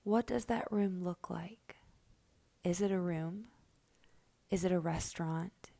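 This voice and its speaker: A woman guiding a meditation in a soft, breathy voice that is often close to a whisper, giving gentle, slow instructions with long pauses. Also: fairly low pitch. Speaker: guided meditation host. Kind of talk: slow guided meditation narration.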